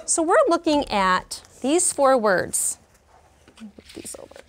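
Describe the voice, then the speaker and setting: A woman in her forties teaching a class of young children, speaking slowly and expressively in an elementary classroom. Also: high voice, lively intonation. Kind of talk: classroom read-aloud and teaching.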